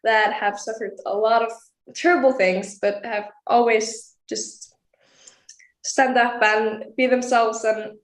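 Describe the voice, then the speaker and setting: A woman's voice: mid-range voice, reverberant, low-quality recording. An eighteen-year-old woman from Iceland, a pageant contestant answering interview questions earnestly and laughing often. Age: young adult.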